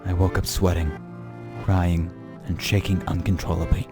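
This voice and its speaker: A man's voice: sits low, level tone, moderate pace. A man playing a paranoid, frightened young man in a creepypasta dramatic reading, telling his diary with anguished drama. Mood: panicked. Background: music.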